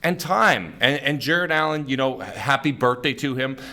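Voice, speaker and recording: A man hosting a sports podcast, his voice a bit raspy and hoarse from cheering, breaking down a game with emphatic, casual analysis. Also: medium pitch, normal speed. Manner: energetic, emphatic basketball analysis. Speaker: podcast host.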